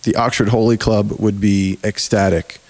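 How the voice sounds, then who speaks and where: A man hosting a podcast in casual chat, his voice hoarse and mostly gone, talking loosely and restarting his sentences. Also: lowish voice, level tone, moderate pace, a little mellow. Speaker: podcast host.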